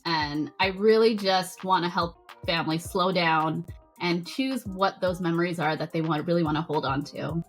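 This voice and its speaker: A woman's voice: fairly low pitch, normal speed, soft background music. A woman who grew up in Canada, a photographer guest on a podcast, explaining her work in a thoughtful, earnest way. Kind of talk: casual interview chat.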